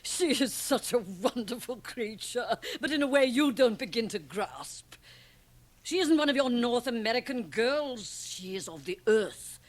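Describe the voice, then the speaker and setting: A woman's voice: on the high side, lively intonation, unhurried, dry recording. A woman playing a grotesque, sly European magic-show performer in a radio drama, teasing and provocative in a theatrical manner. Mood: mocking, scornful. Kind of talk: 1980 radio drama acting. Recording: 1980 radio drama, home cassette transfer.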